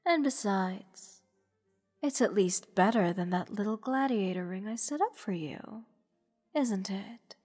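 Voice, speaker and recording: Woman acting a slow-talking, haughty goddess, echoing hall. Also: mid-range voice, animated, a little mellow. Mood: amused, teasing. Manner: teasing, condescending, unhurried. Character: haughty, playful goddess toying with her captive mortal. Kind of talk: roleplay audio drama monologue. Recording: long hall reverb. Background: music.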